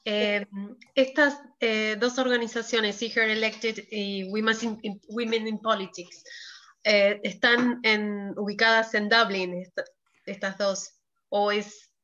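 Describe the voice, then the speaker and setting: A woman from Argentina, taking part in a workshop and speaking hesitantly, with pauses and fillers, over a video call. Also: mid-range voice, level tone, sharp tone, muffled recording. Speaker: workshop participant. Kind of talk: casual discussion.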